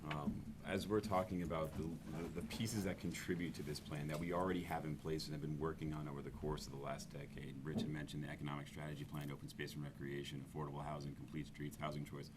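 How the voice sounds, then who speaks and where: A man on a town select board, asking a guest questions at a public meeting in a deliberate, listing way. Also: a bass voice, level tone. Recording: noisy meeting room audio.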